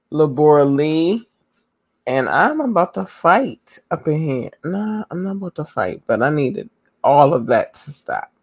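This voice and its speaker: A woman hosting her podcast, her voice getting hoarse. She talks loosely with lots of ums and slang. The sound is close and dry, with no room around it. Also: low voice, no room sound. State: losing her voice. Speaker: podcast host. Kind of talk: casual live podcast talk.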